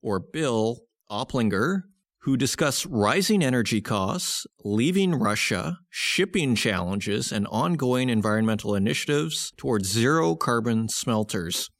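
A man hosting a podcast, giving conversational market commentary and explaining in short phrases as he thinks aloud. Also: medium pitch. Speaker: podcast host.

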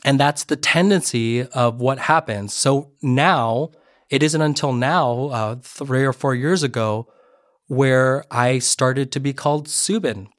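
A middle-aged man, a podcast guest, tells a personal story earnestly in a relaxed interview and often restarts his sentences. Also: mid-range voice, slow, dry recording, studio quality. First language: Korean. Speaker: podcast guest, education advisor. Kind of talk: conversational interview.

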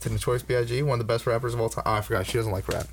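A man's voice: medium pitch, fairly even intonation, very fast, over a noisy crowd. A man in his early twenties hosting a casual podcast, rambling with fillers and swearing. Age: early 20s. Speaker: podcast host.

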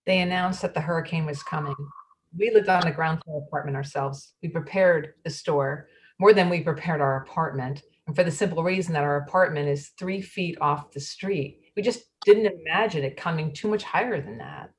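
A woman's voice: low voice, normal speed, lightly acted. A woman reading the part of a wife who wanted to evacuate, retelling it earnestly. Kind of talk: readers theatre monologue.

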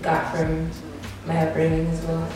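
A woman's voice: low-pitched, monotone, a little slow, recorded from a distance. A woman in her twenties from the DC area, a guest speaking candidly in casual conversation with lots of likes and restarts. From DMV area, US. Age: 20s. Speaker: podcast guest, model and DJ. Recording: roomy echo, from off-mic.

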